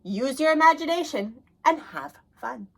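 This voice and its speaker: Woman doing a kind teacher, clear instructions, calm classroom tone. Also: sits high, a little slow, voice pushed up, exaggerated voice. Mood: instructive. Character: kindly female schoolteacher.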